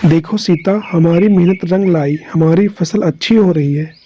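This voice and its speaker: A man's voice: high-pitched, moderate pace, warm tone, a little hiss or hum, close to an everyday voice. A man playing a poor, hardworking village farmer in a cartoon story, earnest and plaintive. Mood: relieved.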